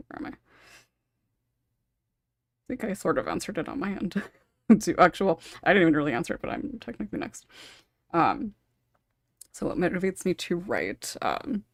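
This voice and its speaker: A woman's voice: fairly low pitch, dry recording, clean studio sound. A woman hosting a casual podcast, rambling and interrupting herself, with small chuckles between thoughts. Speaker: podcast host and script writer.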